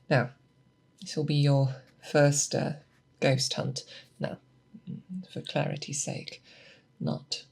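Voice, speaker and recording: A woman playing a seasoned, patient monster hunter who teaches an apprentice in a soft, deliberate, questioning way, in a close-miked ASMR roleplay. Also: very deep voice, vocal fry, slightly rough, full character voice. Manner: deliberate, instructive, questioning, thoughtful pauses. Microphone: close binaural microphone.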